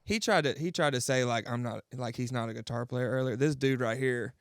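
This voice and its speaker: A man's voice: mid-range voice, a little fast, clear, resonant tone, dry recording, clean studio sound. A man hosting an interview in a laid-back, upbeat way, full of casual chatter. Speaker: interview host.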